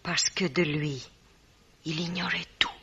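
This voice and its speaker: An actress playing a proud, jealous bereaved woman in a radio mystery, curt, haughty and breathy. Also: fairly low pitch, fairly even intonation, unhurried, sharp tone, heavily acted. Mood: wary. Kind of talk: French radio mystery drama acting. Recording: dull vintage-style radio recording.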